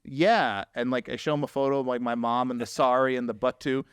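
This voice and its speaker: Podcast host guy from new jersey, chatty, lots of likes, smooth voice. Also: mid-range voice, steady tone, a little fast, no room sound, clean studio sound. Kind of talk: casual interview chat.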